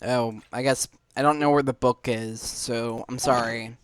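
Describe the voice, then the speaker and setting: A man hosting a gaming video, explaining things informally in a halting, casual way as he plays. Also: medium pitch, steady tone, smooth, dry recording, studio quality. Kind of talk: casual gameplay commentary. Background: faint game music.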